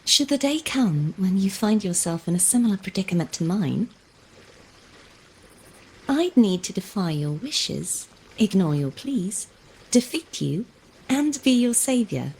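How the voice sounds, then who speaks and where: Husky, resolute young maiden-knight. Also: female voice, mid-range voice, sing-song, darkish tone, heavily acted, low-quality recording. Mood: solemn, determined. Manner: formal, measured, solemn phrasing. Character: earnest, resolute young maiden turned knight errant, gently formal. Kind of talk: video game voice acting.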